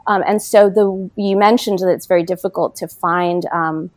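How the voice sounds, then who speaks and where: A woman political science professor being interviewed about her book, speaking thoughtfully with many pauses and fillers. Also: fairly low pitch, steady tone, dry recording. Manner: thoughtful, many ums and you knows. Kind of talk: academic interview.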